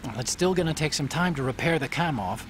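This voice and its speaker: An actor playing an earnest, troubled scientist ally, hesitant yet explanatory, in a video game cutscene. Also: male voice, fairly high pitch, expressive, quick pace, a little hiss or hum, close to an everyday voice. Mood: pleading.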